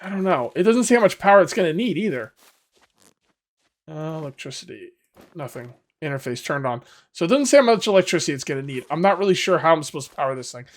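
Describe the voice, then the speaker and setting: Man, gameplay host, hoarse voice going, rambling, chatty with viewers, slightly high voice. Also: very animated, quick pace. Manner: rambling, self-correcting, talks to viewers. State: losing his voice. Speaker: gaming video host. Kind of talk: casual let's-play commentary.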